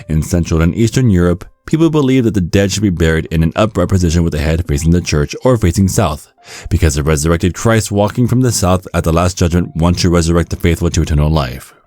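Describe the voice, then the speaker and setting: A man giving a scripted ASMR narration in a measured, instructional storytelling manner. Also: deep voice, a little mellow, no room sound. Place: close, dry recording with music behind.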